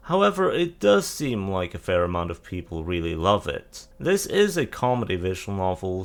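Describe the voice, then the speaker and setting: A man narrating an informal scripted guide in a measured, explanatory way. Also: fairly low pitch, a bit bright.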